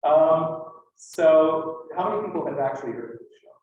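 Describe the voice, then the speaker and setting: A man's voice: on the high side, moderate pace, a little mellow, dull audio. A man with a Western Canadian accent giving an informal academic talk, conversational, with rhetorical questions and dry asides. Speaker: conference speaker, linguist. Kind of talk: informal academic lecture to an audience. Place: lecture room at a conference. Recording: very echoey room, distant mic.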